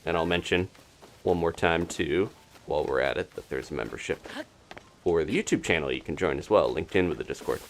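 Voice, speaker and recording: A man's voice: deep voice, animated, fairly quick, a little hiss or hum. A man doing live gameplay commentary as a streamer, chatting casually and thinking aloud in a rambling way. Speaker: video game streamer.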